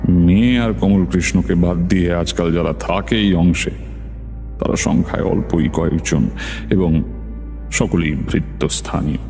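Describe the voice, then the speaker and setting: A man's voice: low-pitched, a little slow, a little mellow, faint music behind. A man narrating a suspense story in the first person, as a scripted dramatized reading.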